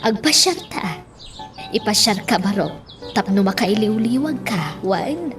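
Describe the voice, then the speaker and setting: A woman's voice: mid-range voice, big pitch swings, unhurried, music in the background. An actress playing a doting older woman who coaxes and advises a young man in an Ilocano radio drama.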